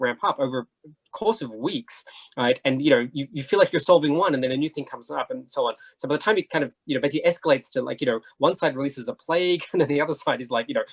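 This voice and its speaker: A man talks very quickly in a slightly husky voice. He is a chatty panelist who thinks out loud, dropping in frequent asides as he explains his views in a casual discussion. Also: medium pitch. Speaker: panelist, writer.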